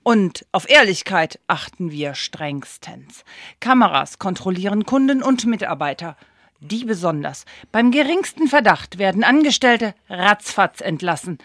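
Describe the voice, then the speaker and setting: Radio play casting: bossy, haughty store manager, ruthless, medium-pitched, dry close sound. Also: female voice, animated, a little slow, a bit bright, no room sound. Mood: cold, boastful. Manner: commanding, haughty. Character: stern, bossy, ruthless female discount-store sales manager. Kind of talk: German radio play acting.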